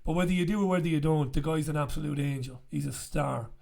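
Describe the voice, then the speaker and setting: A man in his forties, croaky after an illness, chatting bluntly and casually to camera as a show host in a small room with a studio mic. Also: a little high, fast talker, a little mellow. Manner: chatty, blunt, swears freely. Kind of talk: casual direct-to-camera chat. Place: small bright room indoors. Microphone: studio mic on stand in front.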